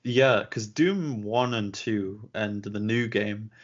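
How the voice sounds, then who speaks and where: A young man with a low voice chats bluntly as co-host, laughing and swearing freely. The recording is muffled and lo-fi. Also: takes it slowly. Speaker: podcast co-host. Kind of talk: casual chat. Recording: dull lo-fi audio.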